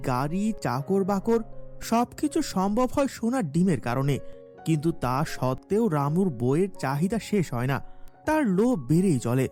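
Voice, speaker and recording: A woman narrates a scripted cartoon fairy tale. Her voice is soft-edged and mellow, with lively intonation, over background music. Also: fairly low pitch.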